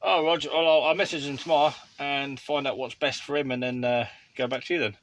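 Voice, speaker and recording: A man's voice: medium pitch, fairly even intonation, a little fast, a bit bright, a little hiss or hum. A British man chatting casually as a vlogger and radio hobbyist. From United Kingdom. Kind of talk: casual chat. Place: inside a parked motorhome.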